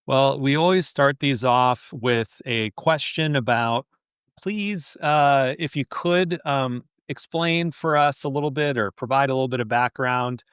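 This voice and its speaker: An American man hosting a podcast interview in a conversational way, often halting mid-question. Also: medium pitch, fairly bright tone, clean tone, no echo, studio quality. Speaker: podcast host, chief executive.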